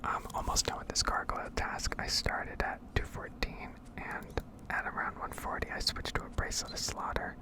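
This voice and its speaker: A woman's voice: moderate pace, few pauses. Whispering woman, rambling gaming ASMR. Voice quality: breathy, unvoiced whisper. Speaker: gaming ASMR video creator.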